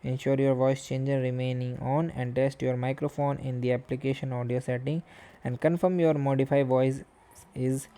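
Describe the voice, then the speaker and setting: Dark mellow voice, mid pitch, step-by-step software tutorial, halting uneven phrasing. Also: little pitch movement, slight background noise. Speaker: tutorial host.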